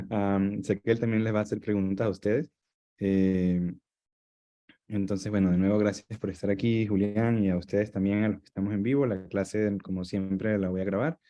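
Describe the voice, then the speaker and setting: Mellow, low-voiced man on dull audio. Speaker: course instructor introducing a guest. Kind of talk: informal online class introduction.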